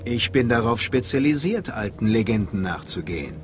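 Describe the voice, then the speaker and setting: An actor voicing a sly, ambitious Sith inquisitor in a video game, theatrical and smoothly menacing. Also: male voice, on the low side, big pitch swings, moderate pace. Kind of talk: dramatic video game dialogue. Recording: music behind the voice.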